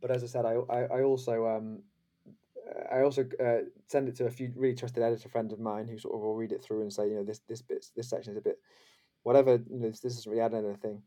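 Fast-talking man with a mellow voice. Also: mid-range voice, flat delivery. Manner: hesitant, self-correcting, reflective. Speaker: interview guest, journalist. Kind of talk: casual interview answers.

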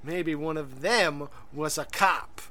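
A man's voice: a little high, takes it slowly, bright, forceful tone. A man co-hosting a podcast in casual chat, rambling and hesitant, full of fillers and restarts. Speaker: podcast co-host.

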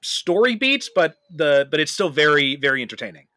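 A man with a fairly high, very bright voice hosts a podcast in a casual, conversational way. He discusses pop culture as if chatting with a friend. The recording is close and dry, free of room sound. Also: sing-song, a little fast, no room sound. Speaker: podcast host.

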